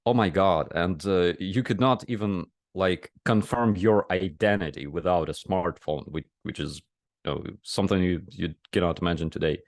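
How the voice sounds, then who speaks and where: A man from Ukraine with a Ukrainian accent, a soldier speaking English as a second language in a halting interview style. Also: low voice, dry recording, studio quality. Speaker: soldier and press officer, guest. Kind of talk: casual interview chat.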